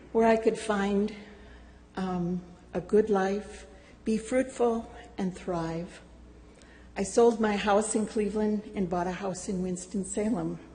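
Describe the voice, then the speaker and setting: An older woman reading a prepared personal testimony to a church congregation, slow and deliberate with gentle humor. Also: medium pitch, big-room sound, slight background noise, poor audio. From United States. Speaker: church member giving a testimony. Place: church sanctuary.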